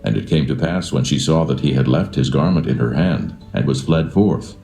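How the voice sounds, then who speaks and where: A man's voice: extremely low pitch, moderate pace, gravelly, dark tone, reverberant, soft background music. A man narrating scripture from a script in a solemn style.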